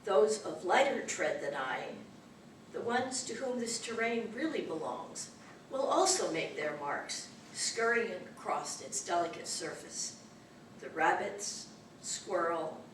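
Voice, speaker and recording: A woman reading a poem aloud in a measured, deliberate way at an open mic in a library room. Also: on the low side, animated. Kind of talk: poetry reading. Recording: distant mic, echoey room, faint noise.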